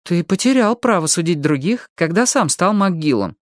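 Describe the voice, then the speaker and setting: A woman voicing a sharp, stubborn teenage girl in an audiobook, emphatic and quick to argue or tease. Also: on the low side, animated, no echo, studio quality, voice pushed up, natural voice. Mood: teasing, scolding. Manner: emphatic, argumentative. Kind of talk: audiobook character voice.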